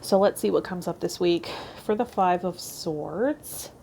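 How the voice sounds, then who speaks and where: Mellow, lower-voiced woman with some background noise. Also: measured pace, vocal fry. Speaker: tarot channel host. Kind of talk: reading card meanings aloud and casual vlog talk.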